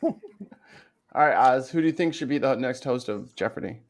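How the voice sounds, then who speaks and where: A man's voice: medium pitch, moderate pace. A man hosting a casual livestream chat over a video call, swearing and laughing often. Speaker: livestream host.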